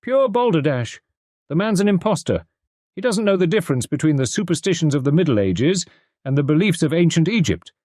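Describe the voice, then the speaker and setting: A man's voice: on the high side, sing-song, a little fast, darkish tone, no room sound, clean studio sound, pitched above the performer's own voice. A man doing a blustering old scholar. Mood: scornful. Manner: blustering, contemptuous. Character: indignant, pompous older male scholar.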